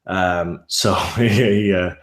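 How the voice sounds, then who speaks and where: Man, mild irish accent, low voice, roomy echo, rambling casual talk. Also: steady tone, very slow. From Ireland. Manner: rambling, many restarts and fillers. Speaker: YouTube narrator guest. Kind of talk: casual interview chat.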